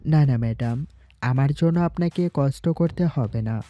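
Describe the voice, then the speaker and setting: A man's voice: medium pitch, a little slow, mellow, no room sound, highs cut off, natural voice. A man playing a young university student who is secretly a gangster boss in an audio story, with music underneath. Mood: meek, polite. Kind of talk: Bengali audio story acting.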